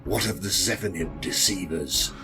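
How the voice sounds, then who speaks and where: A man playing a dutiful vampire lieutenant in a fantasy audio drama, giving formal, measured military reports. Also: sits low, over loud music, natural voice. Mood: businesslike.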